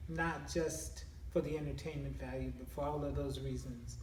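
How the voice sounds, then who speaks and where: A man giving casual interview answers in a halting, measured way as a member of a theatre production. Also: mid-range voice, steady tone. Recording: echoey, distant mic, faint room noise.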